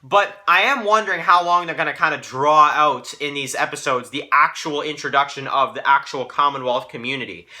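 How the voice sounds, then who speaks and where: A man with a strikingly bright, sharp voice that sits a little high for a male speaker. He hosts a casual review video, speaking straight to fans. His delivery rambles, circling back and qualifying his points as he goes. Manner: rambling, repeats himself, heavy hedging. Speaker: host of a TV review channel.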